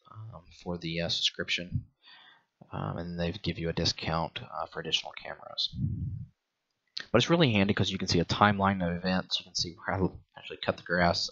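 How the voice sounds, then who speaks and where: A middle-aged man giving a casual product walkthrough as a reviewer, explaining step by step in a halting, hesitant way. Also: sits low, creaky, a bit bright.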